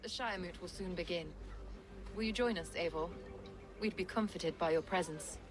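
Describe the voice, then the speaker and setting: She is an actress voicing a composed and pious abbess in a video game. Her voice sits a little low, with measured, formal delivery and a gently wry edge. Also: lightly acted. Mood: courteous, inviting. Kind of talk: video game cutscene acting.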